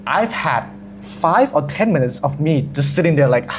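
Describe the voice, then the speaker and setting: A man's voice: on the high side, big pitch swings, normal speed, a little hiss or hum. A young man from Thailand, a video creator and dungeon master, chatting casually in a rambling, self-deprecating way and cursing often. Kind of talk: casual chat.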